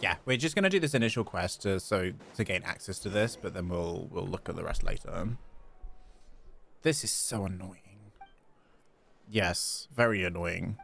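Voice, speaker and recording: A man's voice: sits low, animated, moderate pace, vocal fry, a bit bright. A man chatting casually as he livestreams a video game. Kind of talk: casual gaming livestream chat.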